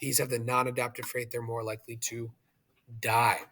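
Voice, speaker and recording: A man's voice: medium pitch, little pitch movement, moderate pace, bright, forceful tone. A man teaching in an explanatory video lesson, speaking directly to viewers and guiding them step by step. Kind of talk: explanatory video lesson, walking through a review question.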